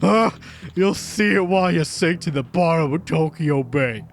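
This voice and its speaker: A man's voice: on the high side, big pitch swings, a little slow, creaky, a bit bright, a little hiss or hum, voice pushed down, full character voice. A man voicing a menacing, foul-mouthed male thug producer in a game dub, snarling threats.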